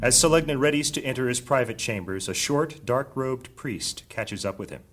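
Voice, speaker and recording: A man narrating a fantasy audio drama in a solemn, measured, scripted storytelling style. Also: mid-range voice, a little hiss or hum. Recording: loud music bed behind.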